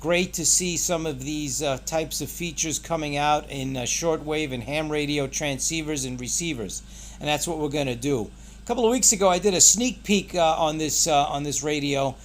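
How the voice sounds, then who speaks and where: A man's voice: a little high, normal speed, slight background noise. A man hosting a casual product unboxing, pointing things out in short, clipped phrases. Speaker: product reviewer and channel host.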